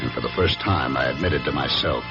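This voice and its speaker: An actor playing a brooding, jealous husband, narrating his memories in a measured, dramatic radio drama style. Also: very deep voice, creaky. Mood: melancholy. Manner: measured, dramatic, ruminative delivery. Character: brooding jealous husband, a weary businessman recalling his past. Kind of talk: radio drama first-person narration and acting. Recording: dull vintage radio recording, music behind. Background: dramatic music.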